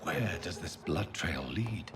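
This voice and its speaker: A man with an English accent playing a brooding, haunted gentleman doctor turned vampire in a video game, speaking gravely and formally. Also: low-pitched, expressive, slow pace, music in the background, full character voice. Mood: grim, investigating. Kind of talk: dramatic game voice acting.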